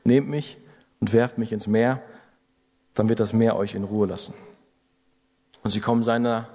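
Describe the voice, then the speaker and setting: A man's voice: fairly low pitch, steady tone, unhurried, mellow, big-room sound. A man preaching an earnest sermon to a congregation, building to urgent exhortations. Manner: earnest exhortation with rising emphasis.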